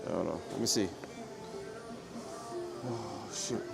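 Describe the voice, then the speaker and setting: A man with a low voice chatting casually and rambling to his livestream viewers as he walks through an airport terminal. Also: takes it slowly, creaky, over loud music. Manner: rambling, joking, talks quietly. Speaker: IRL livestreamer. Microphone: phone or camera carried while walking. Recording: noisy phone livestream audio.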